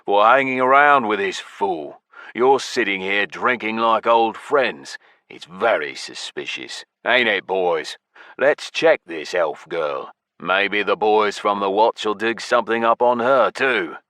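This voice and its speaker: A gruff, vengeful soldier captain , as a male narrator puts him on growls out his threats in slow, heavy words. The voice is sharp and forceful on a low pitch, recorded dry and close. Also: no room sound. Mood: menacing.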